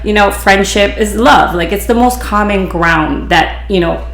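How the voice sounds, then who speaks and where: A woman with a Hawaiian Pidgin-influenced accent tells a story on her podcast, rambling and restarting, with "you know" scattered through. Her voice sits at a middling pitch with a gravelly fry at the ends of phrases. The room around her has a noticeable echo. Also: unhurried. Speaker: podcast host, beautician. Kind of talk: casual storytelling.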